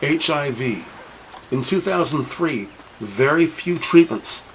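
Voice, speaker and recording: A man's voice: fairly high pitch, animated, takes it slowly, a bit bright, slight background noise, poor audio. A man narrating a scripted audio drama in a formal, descriptive reading style.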